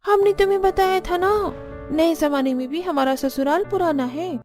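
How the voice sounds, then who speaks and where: High-voiced bossy elder sister-in-law played by a woman. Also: normal speed, a little mellow, faint music behind. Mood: resigned. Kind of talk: cartoon story dialogue.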